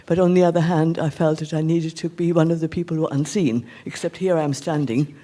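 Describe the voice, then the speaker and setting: A man giving a reflective, earnest speech at a community event, speaking haltingly in an indoor hall. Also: on the high side, steady tone, warm tone. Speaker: guest speaker.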